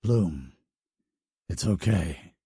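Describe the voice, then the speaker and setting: An audiobook narrator voicing a wounded male alien warrior with scales, speaking low, weary and reassuring. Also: animated, very slow, mellow, slightly rough, dry recording, clean studio sound, full character voice.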